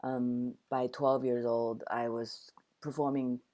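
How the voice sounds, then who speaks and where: Older woman from Taiwan, very low voice, reflective storyteller. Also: level tone, slow pace, dark tone. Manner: reflective storytelling with frequent restarts. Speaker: guest, dancer and actor. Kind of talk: conversational interview.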